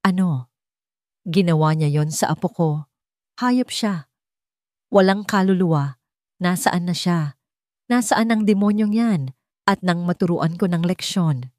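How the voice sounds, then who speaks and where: A woman's voice: lowish voice, unhurried, pauses often, a little mellow, dry recording, studio quality, natural voice. A woman playing a fierce, protective older mother and grandmother in an audiobook drama, blunt and insistent. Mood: furious. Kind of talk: dramatic audiobook character dialogue.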